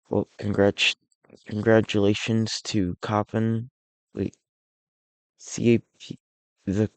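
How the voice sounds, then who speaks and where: A man narrating his alternate-history map series in a loose, rambling way, sounding a bit raspy and under the weather, often restarting and correcting himself. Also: lowish voice, darkish tone, no echo. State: unwell, a bit hoarse.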